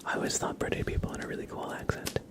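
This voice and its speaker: A man hosting a livestream, whispering ASMR-style into a close microphone as he reads out and thanks his chat. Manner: soft, slow whispering with light chuckles. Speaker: livestream host. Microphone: close microphone picking up mouth sounds.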